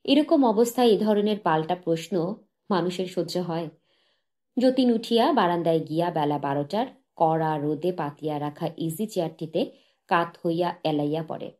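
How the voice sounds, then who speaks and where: A woman narrating a literary short story as an audiobook, in a measured, dramatic reading manner. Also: fairly low pitch, a little mellow.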